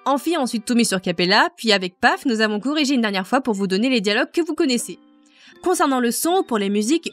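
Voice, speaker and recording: A woman reading out prepared answers about making a fan dub, explaining in detail. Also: fairly high pitch. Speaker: fandub project lead.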